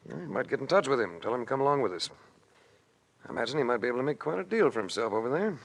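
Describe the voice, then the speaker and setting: An unflappable adventurer sleuth in an old-time radio drama. His medium-pitched voice is dry and wry. He speaks in clipped, confident phrases. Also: very animated, very fast, creaky. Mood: easygoing. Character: cool, wry male adventurer investigator.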